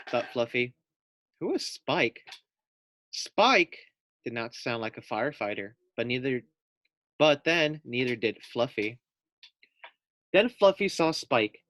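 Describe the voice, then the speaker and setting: A young man, a teacher, reading a picture book aloud to first graders, gentle and unhurried with friendly asides. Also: medium pitch, dry recording.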